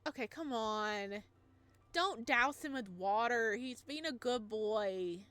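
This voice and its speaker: A woman reading a visual novel aloud and gushing over it in playful, animated commentary. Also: fairly high pitch, slow pace. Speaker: gaming video creator.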